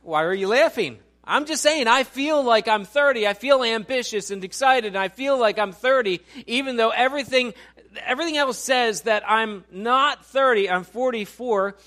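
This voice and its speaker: A man's voice: very high voice, normal speed, pushed and bright. A man in his forties preaching a sermon in a church auditorium, talking in a conversational, joking way and quizzing the congregation. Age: 40s. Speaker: pastor.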